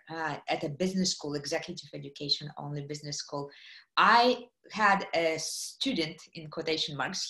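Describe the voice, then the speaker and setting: A middle-aged woman from Kazakhstan, giving a lively webinar talk on a video call, with many fillers and questions to her audience. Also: on the low side, reverberant. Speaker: guest speaker. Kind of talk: webinar presentation. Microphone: computer mic on video call.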